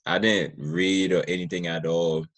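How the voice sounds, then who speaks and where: A man's voice: low voice, little pitch movement, moderate pace, a bit bright. An American man hosting a conversational interview in an encouraging, affirming way. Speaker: podcast host, interviewer.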